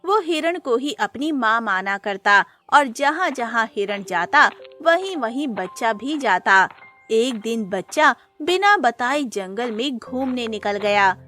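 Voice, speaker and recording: A woman tells a scripted moral tale in a fairly high voice. Her pace is very unhurried, and music plays faintly behind the narration. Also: expressive, sharp tone. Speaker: storyteller.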